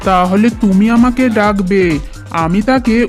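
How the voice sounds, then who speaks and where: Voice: mid-range voice, a little slow, natural voice. A performer voicing a stern, protective goat farmer in a children's cartoon, speaking commandingly with music behind. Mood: reassuring. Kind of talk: children's cartoon story dialogue.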